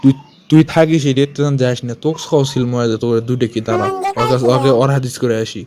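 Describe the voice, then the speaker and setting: A man's voice: medium pitch, fast talker, very noisy, natural voice. A man voicing an angry, blustering village father with a Bogura accent in a comic amateur cartoon dub. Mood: threatening. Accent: Bogura, northern Bangladesh. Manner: scolding, blustering, full of threats. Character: angry, threatening village father scolding wife and son.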